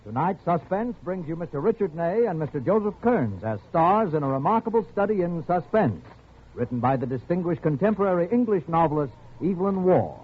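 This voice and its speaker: High-pitched dramatic male announcer, old radio. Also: animated, fairly quick, soft background music. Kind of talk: dramatic 1940s radio show introduction. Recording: muffled, tinny old radio broadcast.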